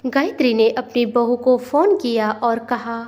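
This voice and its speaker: A woman's voice: a little high, measured pace, darkish tone. A woman narrating a scripted moral story as a storyteller.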